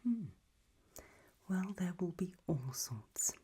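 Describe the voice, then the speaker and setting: A woman playing an elegant, doting gothic lady hostess in a whispered ASMR roleplay, with a soft breath and gracious, languid manner. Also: fairly low pitch, expressive, slow pace, slightly rough, full character voice.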